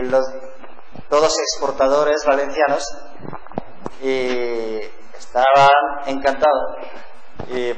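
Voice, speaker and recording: A man giving an unscripted talk at a business event, searching for words in a language not his own. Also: mid-range voice. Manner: halting, searching for words in non-native speech. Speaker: guest speaker, trade intermediary. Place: event room with audience. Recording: echoey reverberant hall, faint noise.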